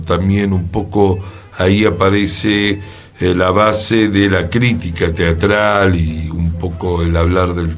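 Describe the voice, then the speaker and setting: A man with an Argentine Buenos Aires accent presenting history conversationally on a radio talk show. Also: low-pitched. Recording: music playing behind the voice.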